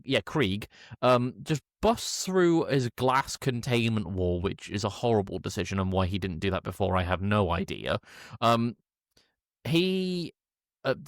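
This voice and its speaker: A man recapping a film casually as a podcast co-host, halting and correcting himself, with an irreverent edge. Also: fairly low pitch, a bit bright, dry recording, clean studio sound. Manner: halting, hesitant, self-correcting delivery.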